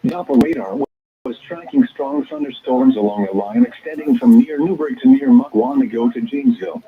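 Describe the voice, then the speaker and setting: A synthetic American male announcer voice comes through a dull, lo-fi weather radio. He reads scripted bulletins formally and impersonally in segmented phrases, with a pitch that swings noticeably up and down. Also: creaky. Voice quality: synthetic text-to-speech voice. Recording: dull, band-limited weather radio broadcast.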